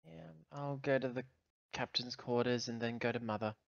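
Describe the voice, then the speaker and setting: A man's voice: medium pitch, normal speed, gravelly, dry recording, lo-fi sound. A man chatting casually as a player in a tabletop roleplaying game.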